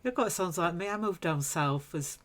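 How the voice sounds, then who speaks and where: A woman's voice: fairly low pitch, expressive, normal speed, fairly bright tone. A woman hosting a podcast who interviews her guests with short, friendly questions. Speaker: podcast host.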